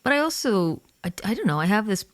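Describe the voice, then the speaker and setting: A woman in her forties hosting a casual podcast interview, chatty and animated, laughing and swearing easily. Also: mid-range voice, fast, no echo. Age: 40s. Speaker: podcast host and singer.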